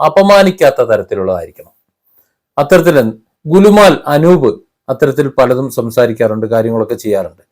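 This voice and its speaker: A man with a fairly high voice delivers opinion commentary. He speaks haltingly, leaning on his points with emphasis and a critical edge. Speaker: commentary vlogger.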